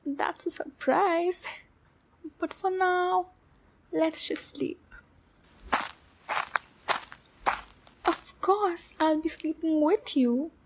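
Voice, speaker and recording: A woman plays a possessive demon girl in an intimate ASMR roleplay. Her voice is high and slightly creaky, and she speaks slowly. She coos to the listener with clingy sweetness and an undertone of threat. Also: a little mellow, heavily acted. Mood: soft, doting.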